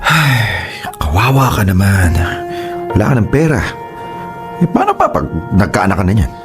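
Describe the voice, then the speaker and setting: A man's voice: on the low side, sing-song, normal speed, music in the background. A man with a Filipino accent acting a callous, taunting, dismissive boyfriend in a radio drama. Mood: mocking. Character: callous, mocking boyfriend, dismissive of his girlfriend.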